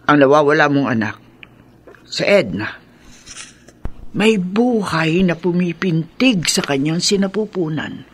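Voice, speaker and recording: A man voicing an old grandmother who reads cards and speaks gravely, at times in a whisper. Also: a little high, lively intonation, measured pace, pauses often, fairly bright tone, slight background noise. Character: old grandmother fortune teller reading cards.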